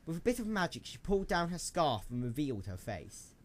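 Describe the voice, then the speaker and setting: A man's voice: a little high, big pitch swings, fairly quick. A man reading scripted story narration for an amateur radio play in a dramatic storytelling style.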